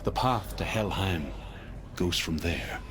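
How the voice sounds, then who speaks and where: Very deep, grave myth storyteller. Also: male voice, expressive, noisy background. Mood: solemn, foreboding. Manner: slow, grave. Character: grave male storyteller of Norse myths, foreboding guide. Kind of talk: solemn mythic storytelling in a video game.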